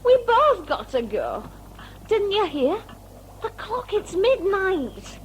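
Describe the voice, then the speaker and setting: A woman's voice: very high-pitched, very animated, slow pace, a little hiss or hum, full character voice, rough recording. A woman playing a feisty, scolding old grandmother in an audio drama, brassy and colloquial. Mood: urgent, defiant. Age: older.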